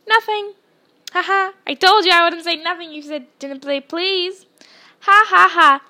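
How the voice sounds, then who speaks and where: Female reader as male poltergeist, storybook trickster, very high-pitched, loud, sing-song, mischievous, taunting. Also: moderate pace, exaggerated voice. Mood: teasing triumph. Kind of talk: storybook character voice.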